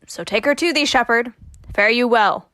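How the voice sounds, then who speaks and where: A woman's voice: on the high side, normal speed, sharp tone, no room sound. Woman as sassy disguised youth, shakespeare radio play, scolding n mocking, dry close sound. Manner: scolding, teasing, theatrical.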